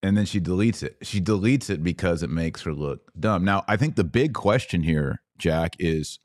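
Male host for a casual current-affairs interview podcast, lowish pitch with animated swings. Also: normal speed, dry recording, clean studio sound.

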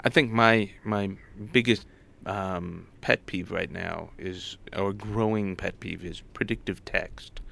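A man's voice: deep voice, fairly even intonation, slow pace, gravelly, few pauses, fairly bright tone. A man being interviewed as a guest, answering casually and conversationally. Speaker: interview guest, digital arts college head. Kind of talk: casual chat, short thoughtful answers.

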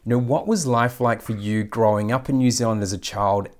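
A man's voice: fairly low pitch, fairly even intonation, fairly quick. A man hosting a podcast, reading a formal, effusive guest introduction and then asking questions. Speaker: podcast host. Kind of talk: scripted guest introduction and interview.

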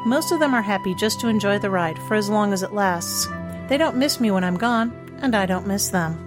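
A woman's voice: medium pitch, expressive, fairly quick, lightly acted. Flirty, sincere part-succubus heroine, woman actor, mid-range female voice, fantasy audiobook, light music underneath. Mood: gentle, sincere. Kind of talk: dramatized audiobook dialogue.

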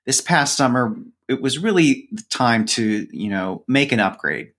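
A man's voice: mid-range voice, animated, measured pace, a bit bright. A man speaking as a podcast guest in a casual conversation, telling stories in a chatty, self-deprecating way.

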